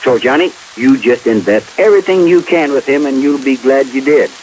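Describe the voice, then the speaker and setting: An actor playing a gullible, chatty small-town police chief in a vintage American radio drama, with folksy, hesitant, stammering speech. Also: male voice, medium pitch, normal speed, darkish tone, close to an everyday voice, poor audio. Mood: earnest, boastful. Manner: folksy rural phrasing, hesitant stammering, eager. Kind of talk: 1950s radio drama acting. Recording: hissy vintage radio recording.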